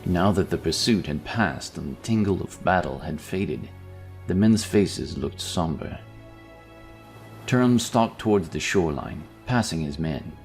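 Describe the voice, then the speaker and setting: A man's voice: low voice, expressive, measured pace. A man reading scripted narration for a fantasy audio story. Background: background music.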